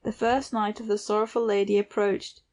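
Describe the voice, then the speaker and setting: A female audiobook narrator reads literary fiction at a middle pitch. Her intonation stays even and restrained from phrase to phrase. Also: moderate pace.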